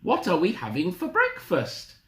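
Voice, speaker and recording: A storyteller voicing a feisty, excitable old woman, exclaiming dramatically. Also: mid-range voice, a little slow, pitched above the performer's own voice. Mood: chirpy. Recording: roomy, echoing room.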